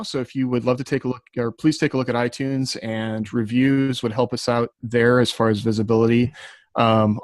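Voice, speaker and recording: A man with a medium-pitched voice hosts a podcast in a close, dry sound. He speaks informally and a little haltingly, dropping in self-aware asides as he goes. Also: dry recording. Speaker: podcast host. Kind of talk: casual, loosely scripted podcast chat.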